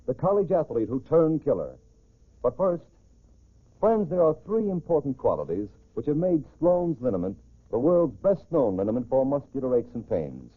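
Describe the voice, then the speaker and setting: An American man announcing a 1940s radio show in an emphatic, formal, scripted style. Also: mid-range voice. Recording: tinny, band-limited old radio recording.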